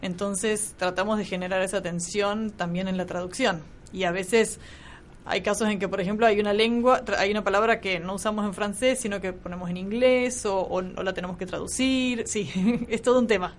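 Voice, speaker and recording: A woman's voice: mid-range voice, brisk. Thoughtful woman with a Córdoba accent. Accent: Argentine, Córdoba. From Argentina, Córdoba. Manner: thoughtful, explanatory, with restarts. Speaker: translator, guest. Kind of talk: conversational radio interview.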